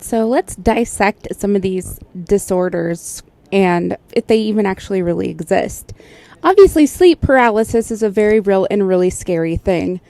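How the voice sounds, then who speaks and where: A woman's voice: mid-range voice, mellow, no echo. A woman hosting casual, explanatory commentary in a conversational way, like a specialist breaking things down. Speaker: host, sleep technologist.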